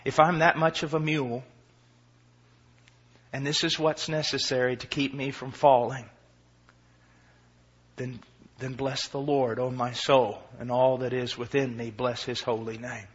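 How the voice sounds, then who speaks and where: A man in his forties preaching a sermon with passion. He moves from quiet, low passages to shouted peaks and mixes in self-deprecating humor. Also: flat delivery, a little slow, long pauses, slightly rough. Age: 40s. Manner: impassioned, swinging from quiet to shouting. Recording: dull lo-fi recording.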